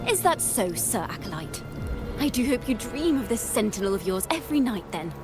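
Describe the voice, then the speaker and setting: A woman's voice: on the high side, very animated, normal speed, over music. An actress playing a proud, defiant young woman bard in a video game, speaking with composure. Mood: sarcastic. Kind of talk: dramatic video game voice acting.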